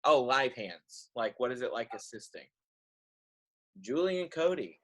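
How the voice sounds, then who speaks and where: A man's voice: medium pitch, very animated, a little slow. A man hosting a casual online group chat, reading out questions and chatting loosely as he goes. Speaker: host of a cast table read, also a writer. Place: remote virtual call.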